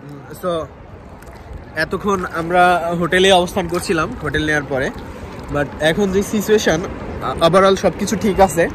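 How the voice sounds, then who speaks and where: A man's voice: sits high, moderate pace, long pauses. A young man from Bangladesh talking casually to his phone camera while standing in the sea, loose and chatty, with many fillers and English words mixed in. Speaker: travel vlogger. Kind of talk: casual travel vlog to camera. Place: outdoors in the sea and on a beach. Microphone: phone held at arm's length. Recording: windy beach noise and surf.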